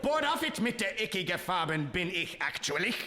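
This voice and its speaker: An actor playing a blustering middle-aged emperor with a comic fake German accent in a live radio comedy. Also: male voice, high voice, big pitch swings, normal speed, echoey room, long reverb tail, background noise. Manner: barking, guttural, mixes German and English.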